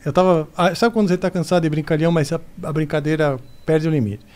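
A man's voice: fairly high pitch, fast talker, darkish tone. A man in his forties, a guest musician, telling jokey stories in a casual podcast chat in a studio. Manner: storytelling, joking. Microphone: studio mic on boom arm.

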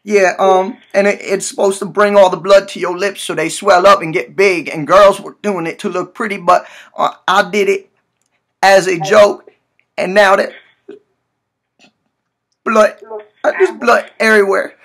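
A man's voice: sits high, fairly even intonation, measured pace, pauses often, fairly bright tone, exaggerated voice. A man in his twenties playing a hurt, naive young man on a prank call, whining, pleading and stammering as he repeats himself. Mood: distressed, whiny. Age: 20s. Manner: whiny, pleading, repetitive, stammering. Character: hurt, frantic, naive young man with bleeding swollen lip. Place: small room indoors, at a desk.

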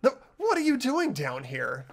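A man's voice: very high voice, huge pitch swings, a little slow, creaky, pitched above the performer's own voice, heavily acted. A man voicing an earnest, determined teenage boy in a visual novel game, with emphatic delivery. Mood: relieved, surprised.

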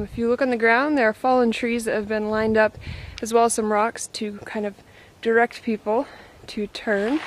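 A woman's voice: on the high side, a little hiss or hum. Chatty woman narrating an outdoor hike. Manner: relaxed, chatty and practical, talks to her dog. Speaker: reporter filming a hike. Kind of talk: casual guided hike narration. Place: outdoors on a coastal trail.

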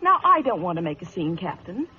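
A woman's voice: fairly low pitch, very animated, fairly quick, slightly rough, exaggerated voice. A woman playing a spirited, haughty young lady, prim and indignant, in an old-time radio drama. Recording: muffled, faintly noisy old radio recording.

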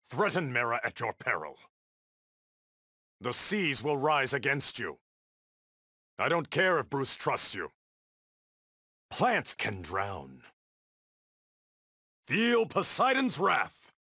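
A man's voice: mid-range voice, huge pitch swings, takes it slowly, pauses often, bright, forceful tone, husky, no echo, clean studio sound, heavily acted. An actor playing a proud, stern undersea warrior king in a video game, with a regal, defiant, declamatory delivery. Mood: commanding, angry, then cold, menacing. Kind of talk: video game battle dialogue.

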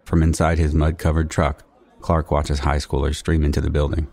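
A man's voice: very deep voice, monotone, normal speed, a little mellow. A man reading scripted narration and credits for an audio drama. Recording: music bed under the voice.

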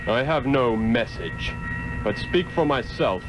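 A resolute sorcerer hero speaks firmly at a middling pitch. The style is earnest, formal old radio drama on a plainly noisy recording. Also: male voice, animated, slow. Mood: defiant. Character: resolute, defiant heroic sorcerer, a grown man. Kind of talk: 1960s radio drama acting.

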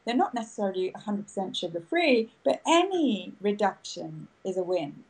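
A woman's voice: mid-range voice, very animated, normal speed, a little mellow, poor audio. A woman podcaster answering interview questions in an upbeat, storytelling way over a video call. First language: English. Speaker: guest, podcaster.

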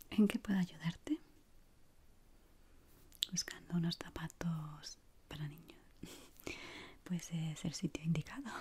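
A woman with an accent from Spain whispers gently and soothingly in a breathy voice, playing an ASMR shop assistant up close to the mic. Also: lowish voice. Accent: Spanish from Spain. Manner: soft, gentle, soothing, many diminutives. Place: small indoor room. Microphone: close microphone. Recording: close mic with clear background noise.

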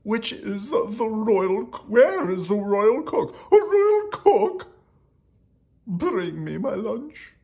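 A storyteller voicing a whiny, bossy, childish storybook king who complains loudly with drawn-out words. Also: lively intonation, creaky. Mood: demanding. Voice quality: high-pitched, exaggerated.

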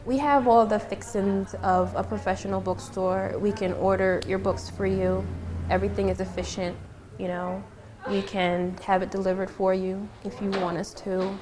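Woman in her thirties, shop owner, earnest and animated, faint room noise. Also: medium pitch, moderate pace. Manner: earnest, animated, with fillers like "um" and "you know". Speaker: bookstore owner. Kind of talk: on-camera interview. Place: small indoor bookstore.

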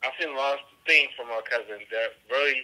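A man's voice: mid-range voice, quick pace, a crowd in the background. A man calling in over a phone line, defending himself insistently and repeating his points. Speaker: caller, church deacon.

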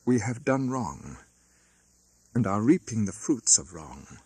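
A man voicing a grave, principled young gentleman in an audiobook, formal and restrained. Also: lowish voice, sing-song, slow, husky, no room sound, heavily acted. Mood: grave reproach. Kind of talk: audiobook character acting.

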